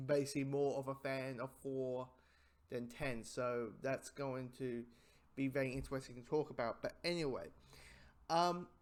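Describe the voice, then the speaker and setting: A man giving a casual spoken review in a rambling, halting way, often repeating his phrases. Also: medium pitch.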